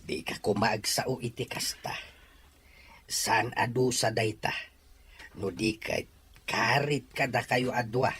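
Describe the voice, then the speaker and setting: A man's voice: medium pitch, steady tone, slow, pauses often, fairly bright tone, slight background noise. A man playing a patient father who consoles and counsels a heartbroken child in an audio drama. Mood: gently reproving. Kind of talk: Ilocano audio drama acting.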